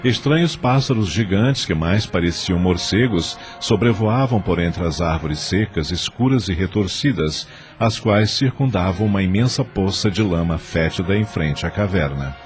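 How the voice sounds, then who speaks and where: Casting: male Brazilian storyteller, low-pitched, scripted audio-drama narration. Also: lively intonation, normal speed, few pauses, faint music behind.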